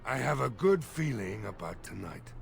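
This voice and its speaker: A man voicing a gruff middle-aged village mayor readying his militia for a fight. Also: fairly low pitch, big pitch swings, normal speed, faint music behind, full character voice. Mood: determined. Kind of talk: video game voice acting.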